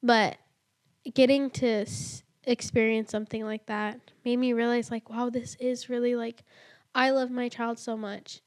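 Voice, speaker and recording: Chatty young woman, higher voice. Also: little pitch movement. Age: early 20s. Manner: chatty, many "like" fillers, quick to laugh. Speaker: podcast guest. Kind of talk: casual faith conversation.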